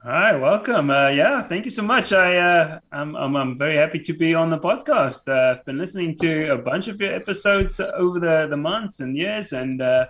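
A man's voice: fairly high pitch, animated, a bit bright. South african guy in his 30s, afrikaans is his first language, keeps stumbling and restarting, podcast guest on a call from home. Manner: halting, stammering restarts. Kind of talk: casual podcast interview. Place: home room, remote call. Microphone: headphones and podcast mic.

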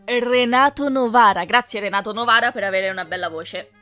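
A woman's voice: fairly high pitch, fairly quick, bright, forceful tone. A young woman chatting casually as she reads out and answers questions. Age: young adult. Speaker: YouTube fandubber answering fan questions. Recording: music playing behind. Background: music.

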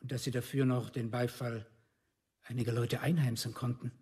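Grave, husky, accusing orator. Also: male voice, medium pitch, level tone, a little mellow. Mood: grave, reproachful. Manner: measured, rhetorical, grave and reproachful. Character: solemn, indignant orator accusing his community. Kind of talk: formal speech in a documentary radio play.

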